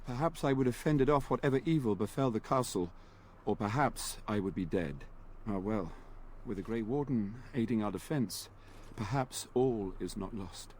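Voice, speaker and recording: An actor playing an earnest, dutiful knight commander who speaks in formal, courtly phrases. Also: male voice, fairly low pitch, normal speed, a little mellow, a little hiss or hum, close to an everyday voice. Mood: grave. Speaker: game character. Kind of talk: video game voice acting. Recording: game audio with faint ambience.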